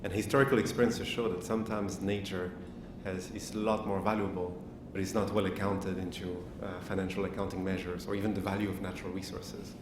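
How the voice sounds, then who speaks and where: A man with a lowish voice answers audience questions at a summit panel, laying out his points in a measured, explanatory way. He is picked up from a distance in a large hall, so his voice sounds roomy and echoey. Steady background noise runs under the speech. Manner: measured, explanatory, thinking aloud. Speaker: panel speaker. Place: lecture hall.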